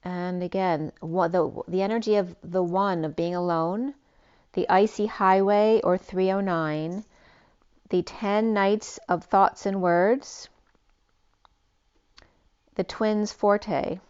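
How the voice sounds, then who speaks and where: A woman giving a spiritual tarot reading, rambling and free-associating with many restarts, her voice going hoarse. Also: mid-range voice, fairly even intonation, slow, darkish tone, highs cut off. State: losing her voice. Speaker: tarot reader.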